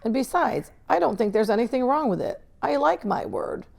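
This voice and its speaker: A woman's voice: fairly high pitch, animated, normal speed, creaky, a little mellow, pitched above the performer's own voice, heavily acted. A woman voicing an earnest, plucky fifth-grade boy in a storybook, informal and insistent as he stands his ground. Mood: defiant, sincere. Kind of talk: storybook read-aloud character dialogue.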